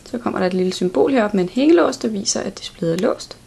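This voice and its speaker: A woman's voice: medium pitch, animated, creaky, background noise. A woman giving an instructional product walkthrough in a plain, step-by-step way. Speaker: product demonstrator.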